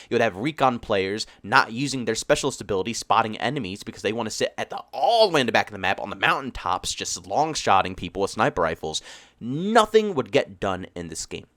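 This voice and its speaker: Congested man in a fast, lively rant. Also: medium pitch, no echo. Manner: emphatic, ranting, builds to excited peaks. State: congested, stuffy nose. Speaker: gaming YouTuber. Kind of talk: gaming commentary monologue.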